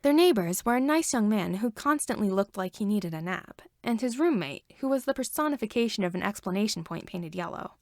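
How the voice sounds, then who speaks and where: Creaky-voiced woman reading a story. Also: medium pitch, lively intonation, a little fast, hardly any pauses, no echo, studio quality. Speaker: fan fiction reader. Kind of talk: scripted story narration.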